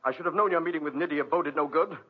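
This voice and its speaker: Fast, hot-headed young man. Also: on the high side, breathy edge. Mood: angry, accusing. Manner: urgent, indignant. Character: hot-headed, accusing young brother protecting his sister. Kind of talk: 1940s radio acting. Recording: muffled 1940s radio recording.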